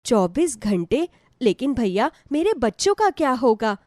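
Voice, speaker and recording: Woman voicing a humble, earnest poor mother, high-pitched. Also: sing-song, measured pace, a little mellow, dry recording, clean studio sound. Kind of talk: Hindi devotional story acting.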